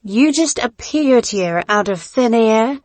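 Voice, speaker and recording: A woman's voice: fairly high pitch, expressive, slow, darkish tone. A woman playing a regal, composed pirate leader questioning people in an audio drama. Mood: imperious questioning.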